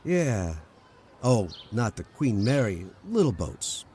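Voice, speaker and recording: A man's voice: fairly low pitch, big pitch swings, slow, dark tone, a little hiss or hum. A man playing an easygoing, wry, folksy dreamer in an old-time radio romantic comedy. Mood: easygoing, reflective. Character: easygoing, wry male drifter dreaming of building boats.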